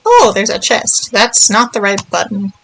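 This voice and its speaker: A woman's voice: mid-range voice, lively intonation, normal speed, fairly bright tone, soft background music, rough recording. A woman giving casual, playful video game commentary, thinking aloud and murmuring little nonsense words to herself as she plays.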